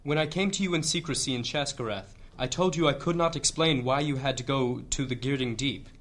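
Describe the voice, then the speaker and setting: An actor playing a grave, earnest church leader, speaking in measured, oratorical fashion in a fantasy audio drama. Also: male voice, mid-range voice, fairly bright tone, close to an everyday voice. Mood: solemn confiding. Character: solemn male high church leader, earnest and weighty.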